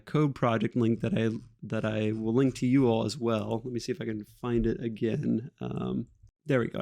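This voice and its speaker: A man's voice: medium pitch, vocal fry, darkish tone. A man walking through a screen-share coding tutorial in a casual, self-deprecating way, thinking aloud and using fillers as he goes. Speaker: instructor.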